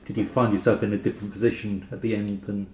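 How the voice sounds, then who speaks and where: A man interviewing a guest conversationally, putting his questions in a tentative, halting way. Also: on the low side, little pitch movement, dark tone. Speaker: podcast interviewer. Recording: echoey room, faint background noise.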